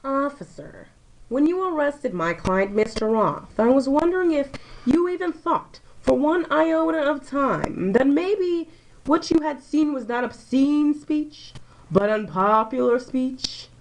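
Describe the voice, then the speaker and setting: A woman's voice: sits high, lively intonation, a little slow. A young woman playing an earnest, pressing defence lawyer in an amateur puppet show. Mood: earnest, challenging. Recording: noisy amateur recording with clicks.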